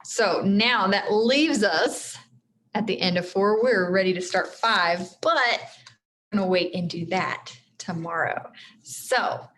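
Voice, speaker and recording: A woman reads a children's book aloud in an expressive, teacherly way, turning to address her young listeners. Her voice sits at a medium pitch. The recording has clear background noise. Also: takes it slowly. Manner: expressive, addresses listeners directly, stumbles over hard names. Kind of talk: read-aloud storybook narration with friendly asides.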